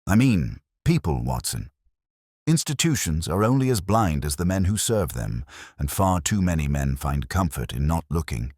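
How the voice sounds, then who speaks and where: Guy reading as a moody old-time detective for an audiobook, super low voice, grave and deliberate, logical type. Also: very animated, a little mellow, breathy edge, dry recording, clean studio sound, heavily acted. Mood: grave, pointed. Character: brooding, grave, coolly logical male Victorian detective.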